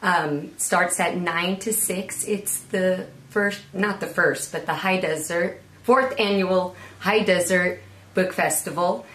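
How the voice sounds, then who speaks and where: A woman's voice: on the low side, slow pace, fairly bright tone. A woman in her thirties, a guest author, talking informally to the camera. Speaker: children's book author, guest. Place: small indoor room with window air conditioner. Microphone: camera a few feet away. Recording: echoey room.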